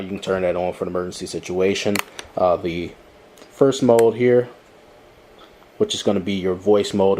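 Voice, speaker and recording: A man's voice: low voice, warm tone, a little hiss or hum. A man giving a casual, step-by-step product demonstration in an explanatory way, recorded in a room indoors. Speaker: product reviewer.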